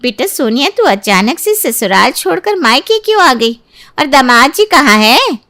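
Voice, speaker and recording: A woman's voice: high-pitched, very animated, normal speed, bright, forceful tone, voice pushed up, exaggerated voice. A woman voicing a worried middle-aged mother of a married daughter in a story, anxious and questioning. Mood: alarmed.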